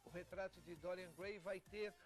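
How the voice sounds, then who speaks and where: High-pitched Brazilian man explaining in an interview. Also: steady tone. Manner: explanatory, with frequent pauses and repeats. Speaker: theatre company member being interviewed. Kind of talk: TV interview talk.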